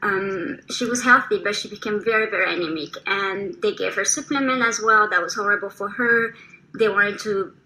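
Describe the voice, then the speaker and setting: Bright-voiced French woman telling her story. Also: mid-range voice, moderate pace, rough recording. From France. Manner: earnest, frank, with frequent ums and small restarts. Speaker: testimonial speaker. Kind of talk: personal testimonial.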